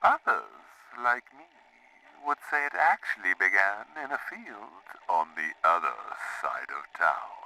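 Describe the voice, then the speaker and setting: Sardonic mystery suspect, slow, super swoopy intense sharp voice, audio drama. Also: male voice, low-pitched, big pitch swings, exaggerated voice, low-quality recording. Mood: cryptic. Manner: theatrical, deliberate, wry. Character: cryptic, sardonic, self-possessed suspect under questioning.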